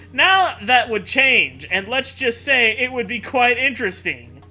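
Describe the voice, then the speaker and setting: A man's voice: very high-pitched, big pitch swings, slow pace, bright, forceful tone, faint music behind. A man riffing comically as he reads fan fiction aloud, sarcastic and often laughing through his words. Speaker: podcast co-host.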